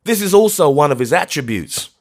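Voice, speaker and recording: A man voicing a grief-maddened old astronomer, who rages in bitter, anguished outcries, pitched far above his own voice. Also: very animated, a little fast, a little mellow. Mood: raving.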